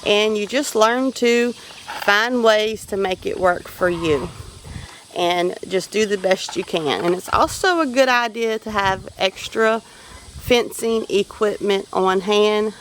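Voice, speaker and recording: A down-to-earth woman with a Southern drawl, chatting plainly through practical farm advice as she walks around her homestead. Her voice sits at an ordinary middle pitch, with a faint hum of outdoor noise behind her. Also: slow. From Louisiana. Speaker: homesteader vlogger. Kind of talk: casual walking vlog.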